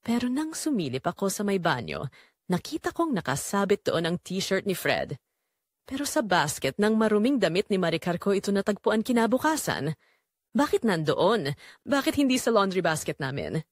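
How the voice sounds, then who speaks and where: A woman's voice: medium pitch, sing-song, normal speed, dry recording, studio quality. A woman with a Filipino accent reading a scripted first-person drama in an emotive, solemn way. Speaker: audio drama narrator.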